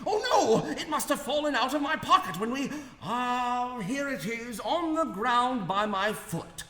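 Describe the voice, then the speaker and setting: An actor playing an excitable, bumbling, self-important old inventor in a radio play, theatrical and flustered. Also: male voice, extremely high pitch, a little slow, full character voice. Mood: flustered, apologetic. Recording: roomy echoing hall sound, music behind.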